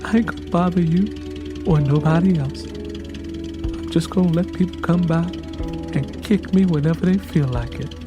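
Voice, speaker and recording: A man's voice: a little high, measured pace, long pauses, over music, voice pushed up, natural voice. A man voicing a hurt, sullen young pregnant woman with a Southern US accent in an audiobook, muttering and resigned.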